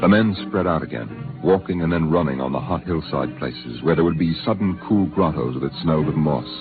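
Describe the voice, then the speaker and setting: A man narrating a 1950s radio drama in a grave, measured storytelling manner. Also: a bass voice, animated. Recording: muffled vintage radio recording over music.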